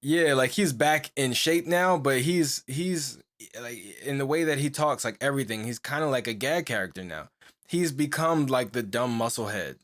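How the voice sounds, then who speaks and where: A man's voice: medium pitch, moderate pace, a bit bright. Rambling young man on a podcast. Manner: rambling, full of "like" and restarts, laughs often. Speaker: podcast co-host. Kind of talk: casual chat.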